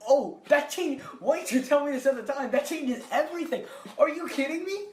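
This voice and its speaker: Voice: high-pitched, animated, a little fast, distant mic. A podcast co-host chatting casually about films, enthusiastic and given to trailing off with "I don't know". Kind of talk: casual film chat. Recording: echoey room with faint noise.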